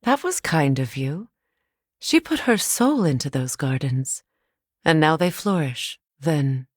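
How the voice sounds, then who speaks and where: An actress playing a grave, sorrowful exiled woman of magic in a video game, speaking in measured, formal phrases. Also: sits low, dry recording, clean studio sound, heavily acted. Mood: gentle, sombre. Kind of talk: dramatic game character dialogue.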